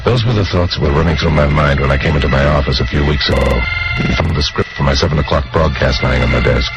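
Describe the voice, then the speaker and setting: A man's voice: very deep voice, rough recording. An American actor playing a hard-boiled, wary male newscaster in a 1950s radio drama, on an old recording. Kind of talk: 1950s American radio drama acting, first-person narration. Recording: old radio recording under loud music.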